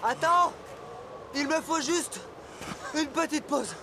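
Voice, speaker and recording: An actor playing an anxious, complaining young football player in a French-dubbed cartoon, whiny and doubtful. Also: male voice, very high-pitched, lively intonation, takes it slowly, background noise, exaggerated voice. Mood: weary, complaining.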